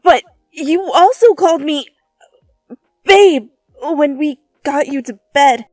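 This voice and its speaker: A woman voicing a flustered, feisty teenage student in an animated, halting dramatic fan fiction reading. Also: sits high, dry recording, pitched above the performer's own voice, rough recording. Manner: animated, stammering, swinging from taunting to apologetic.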